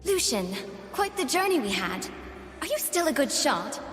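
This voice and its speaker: A woman's voice: high voice, sing-song, normal speed, a bit bright. A woman voicing an earnest, eager young doll-like heroine in theatrical video game lines. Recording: reverberant game audio over music.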